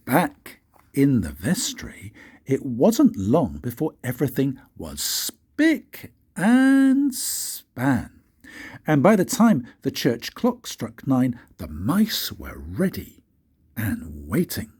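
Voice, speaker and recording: An older man reads a children's story like a grandfather, in an expressive, deliberate way with dramatic pauses and a husky voice. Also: medium pitch. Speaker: grandfather reading stories for his grandchildren.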